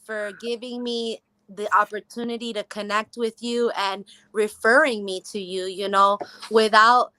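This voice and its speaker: Young woman from Miami, earnest guest voice, clear and bright, dry sound. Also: medium pitch, measured pace, no room sound, low-quality recording. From Miami, Florida. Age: young adult. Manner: earnest and grateful, steady. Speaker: guest, cannabis grower. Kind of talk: interview guest introduction.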